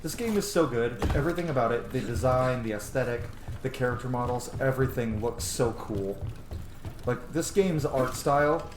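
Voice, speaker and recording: A man's voice: mid-range voice, moderate pace. A man in his thirties giving casual, enthusiastic game commentary, musing aloud and swearing casually. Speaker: gaming streamer, let's play host. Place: indoor room at home. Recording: roomy echo, clear background noise.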